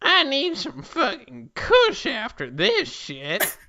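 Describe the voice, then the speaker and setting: Man putting on a shrill, brash shrine maiden. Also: huge pitch swings, slow pace, bright, forceful tone, highs cut off, heavily acted. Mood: fed up. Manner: brash, swearing. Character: foul-mouthed, hot-tempered young shrine maiden woman.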